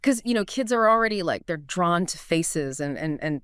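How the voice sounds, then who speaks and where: A woman's voice: on the low side, lively intonation, moderate pace, a bit bright, clear, resonant tone, dry recording, clean studio sound. A woman co-hosting a podcast, chatting casually and informally, repeating words for emphasis. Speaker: podcast co-host. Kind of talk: casual conversational reacting and commentary.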